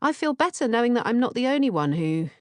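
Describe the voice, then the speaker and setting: A woman's voice: fairly high pitch, big pitch swings, fast talker, darkish tone, no room sound. A woman narrating an audiobook from a script. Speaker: author-narrator reading audiobook, podcast co-host.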